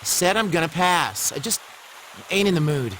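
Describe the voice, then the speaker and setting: A man voicing a brash, moody high school boy in an anime game, talking in casual, expressive slang. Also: normal speed, a little hiss or hum, low-quality recording. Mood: dismissive.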